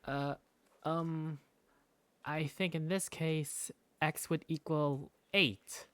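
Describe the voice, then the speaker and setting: A man's voice: fairly high pitch, fairly even intonation, extremely slow, a bit bright, clean tone, no room sound. A man playing a sleepy, easily embarrassed teenage schoolboy who narrates a visual novel in the first person. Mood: flustered.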